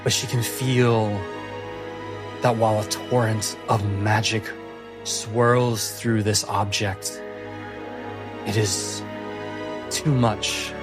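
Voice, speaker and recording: A man narrating a tabletop fantasy game as game master, with a measured, deliberate storytelling delivery. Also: fairly low pitch, flat delivery. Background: music.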